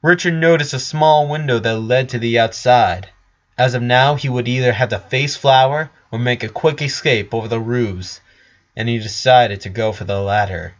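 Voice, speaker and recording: A man's voice: medium pitch, normal speed, dull audio. A man narrating scripted fantasy fiction as a storyteller.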